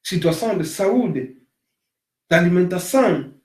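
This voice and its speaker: A man's voice: high-pitched, lively intonation, a little slow. Emphatic man in an echoey room. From Africa. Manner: emphatic, repeats phrases, rising to loud stresses. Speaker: commentator on migration. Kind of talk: impassioned monologue.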